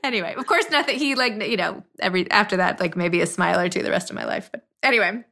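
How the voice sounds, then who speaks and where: A woman hosting a podcast, talking informally and casually with her guest in a halting, conversational way. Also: mid-range voice, gravelly, pushed and bright. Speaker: podcast host, interviewer. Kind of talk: casual chat.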